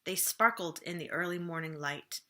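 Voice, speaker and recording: A woman reading a storybook aloud for children, with expressive, dramatic phrasing that often drops softly at the ends of phrases. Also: lowish voice, moderate pace, slight background noise.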